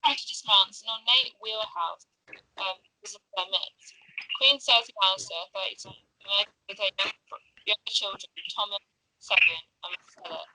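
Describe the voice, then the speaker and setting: A woman's voice: mid-range voice, moderate pace, sharp tone, rough recording. A woman narrating the stage directions of a script at a table read, occasionally stumbling over the text.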